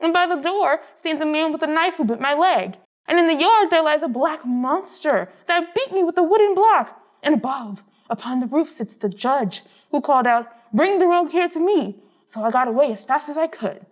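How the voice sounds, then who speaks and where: A woman's voice: high voice, lively intonation, moderate pace, hardly any pauses, pitched above the performer's own voice. A woman voicing a frightened male robber in a storybook, with an exaggerated, dramatic telling. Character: frightened male robber reporting to his captain.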